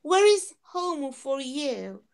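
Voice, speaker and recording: A woman in an English conversation group, speaking carefully as a learner. Her voice sits high and she talks slowly, weighing each word. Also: sing-song. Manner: careful, deliberate speech of a non-native learner.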